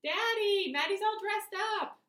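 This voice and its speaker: A woman voicing a whiny, excitable little brother in a children's story. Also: very high voice, measured pace, roomy sound, far from the mic, voice pushed up, heavily acted. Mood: excited.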